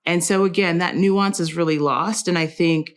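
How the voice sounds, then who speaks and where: Female interview guest, slightly low register, casual anecdotal delivery with quoted asides. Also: fairly even intonation, normal speed.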